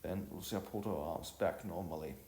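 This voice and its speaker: A man's voice: low voice, a little fast, a little mellow. A man reading a scripted story as narrator, often stumbling and repeating phrases as he goes. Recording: roomy echo, clear music bed. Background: music.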